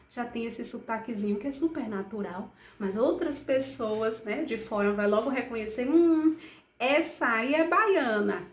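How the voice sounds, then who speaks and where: A woman with a Brazilian accent talks a class of children through a lesson, her voice sitting a touch high. She coaxes them along with questions she answers herself. The room around her is echoey. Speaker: teacher.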